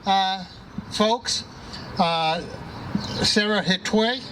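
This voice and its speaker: A man hosting a live event and introducing the next speaker, halting with frequent fillers. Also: high-pitched, heavy background noise.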